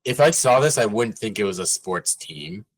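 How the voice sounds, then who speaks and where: A man co-hosting a casual sports podcast, talking loosely with many fillers and getting animated when amused. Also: lowish voice, moderate pace. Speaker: podcast co-host.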